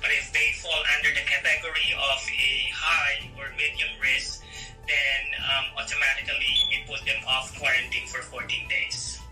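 A middle-aged man answering interview questions casually and haltingly over a phone video call. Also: mid-range voice, flat delivery, sharp tone. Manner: halting, thinking as he speaks. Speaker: interview guest, nursing facility administrator. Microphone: phone video call at arm's length. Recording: echoey, distant video call, faint music behind.

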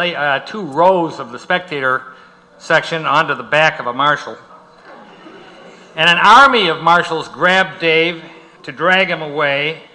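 A man's voice: fairly high pitch, sharp tone, poor audio. An older man giving a prepared speech to an audience, telling a story in an emphatic, dramatic manner.

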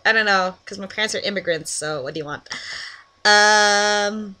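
Young woman, from Canada, giggly, chatty, bright voice, headset mic, small bedroom. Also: medium pitch, normal speed, smooth, rough recording. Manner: giggly, chatty, breaks off to scold friends on a call. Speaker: vlogger doing an accent meme. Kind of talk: casual webcam vlog. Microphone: headset microphone.

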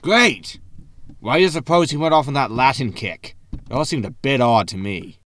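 An actor playing a sarcastic, greedy spaceship captain with a wry manner in a sci-fi comedy audio drama. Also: male voice, medium pitch, lively intonation, normal speed, fairly bright tone, dry recording, lightly acted. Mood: sceptical, wry.

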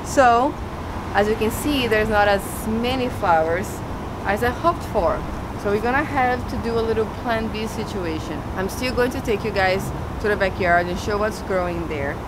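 A woman's voice: medium pitch, expressive, normal speed. A young woman giving a casual walk-through tour of her garden plot, chatting as she points out plants outdoors. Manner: chatty, walks and points at plants while talking. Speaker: gardening vlogger. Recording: outdoor street noise behind.

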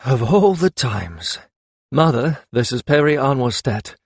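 A young lord of dragon riders played by a man, firm and earnest, with a mid-pitched voice. His speech is formal and commanding, in the manner of a fantasy audiobook, recorded dry and close. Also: expressive, moderate pace, no echo, close to an everyday voice. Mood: hurried, earnest.

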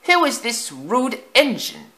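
Creaky old storybook coaches who fuss and complain, voiced by a male narrator. Their voices climb high and thin, sounding offended and put-upon. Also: wildly swooping pitch, slow pace, pushed and bright, exaggerated voice. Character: old, grumbling passenger coaches.

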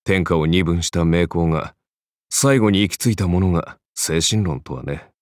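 An actor playing a cool, wry male warrior who speaks in a measured, philosophical way as a game character. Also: low-pitched, no room sound, clean studio sound, lightly acted. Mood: wry, pensive. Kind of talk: Japanese game character dialogue.

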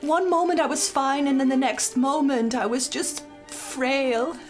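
A woman's voice: high-pitched, fairly even intonation, unhurried, faint music behind. A woman voicing a vain, scheming young maiden in a comic puppet show, fretful, repetitive and melodramatic. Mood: feigned frailty.

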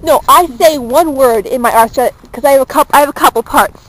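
A woman's voice: high voice, fairly quick, poor audio. A young woman chatting while she walks and films with a handheld camera, jokey and self-mocking. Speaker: vlogger. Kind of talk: casual chat while walking. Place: outdoors on a small-town street, later indoors in a wood-paneled room. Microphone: handheld camera held close.

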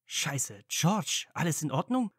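A man's voice: a little high, big pitch swings, takes it slowly, husky, dry recording, clean studio sound, full character voice. A man playing a caring, curious young wizard and supportive friend in a fan fiction audio drama, casual and earnest. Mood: concerned.

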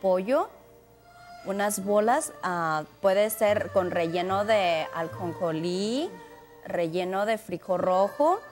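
A Chinese-born woman in her thirties answers questions in a TV studio, speaking a second language with careful, slightly halting phrasing. She speaks into a handheld mic at an average pitch. Music plays clearly behind her. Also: lively intonation, darkish tone. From China. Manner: careful, slightly halting non-native speech. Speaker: community guest. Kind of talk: TV studio interview. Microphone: handheld mic held by the host.

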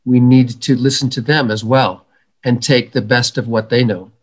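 A middle-aged man, an environmental educator, giving measured, earnest answers in a video call interview. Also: medium pitch, steady tone. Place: home room.

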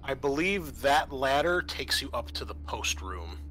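Guy game mastering a tabletop session, bright forceful voice, casual. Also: mid-range voice, very animated, measured pace, a little hiss or hum, rough recording.

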